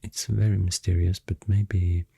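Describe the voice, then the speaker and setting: A man with a Swedish accent speaks in a very dark, low, breathy murmur that often sinks toward a whisper. He rambles gently in short, halting phrases, taking his time, as in an ASMR recording made right at the microphone. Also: level tone, no echo. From Sweden. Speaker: ASMR creator and host. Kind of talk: soft-spoken ASMR rambling. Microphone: close, dry microphone.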